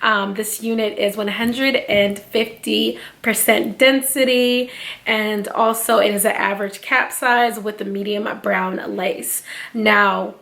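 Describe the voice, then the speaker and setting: Young woman host, casual beauty-vlog chatter, loose slangy delivery, voice a bit under the weather, mid pitch. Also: steady tone, slow pace, vocal fry, fairly bright tone. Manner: chatty and slangy, addresses viewers as sis and y'all. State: feeling sick, voice slightly off. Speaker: beauty YouTuber reviewing a wig. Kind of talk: casual product-review vlog.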